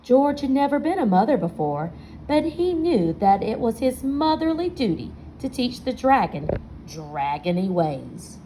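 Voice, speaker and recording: A woman reading a picture book aloud to children, expressive in her storytelling and chatting to her young listeners with questions. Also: a little high, a little slow, a little hiss or hum, rough recording. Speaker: storybook reader.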